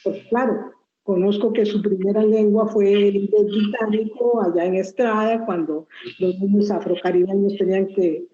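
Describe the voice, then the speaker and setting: A woman interviewer asks thoughtful questions in a measured way. Her voice is mellow and warm. The recording is roomy and dull. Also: medium pitch, steady tone, slight background noise. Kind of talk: book presentation interview.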